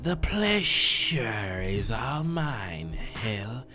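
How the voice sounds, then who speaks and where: A man voicing a courteous horned carter in a visual novel, with drawn-out, formal speech. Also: on the low side, big pitch swings, sharp tone, faint music behind, exaggerated voice. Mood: slow, courteous. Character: slow, courteous horned male supply carter. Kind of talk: visual novel character read aloud.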